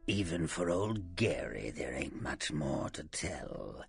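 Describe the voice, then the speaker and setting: Very deep, gravelly old sardonic vampire. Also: male voice, big pitch swings, slow, soft background music, full character voice. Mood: sly, confiding. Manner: theatrical, sarcastic, drawling. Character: sly sardonic old vampire, faded film star turned sewer informant. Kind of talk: video game character acting.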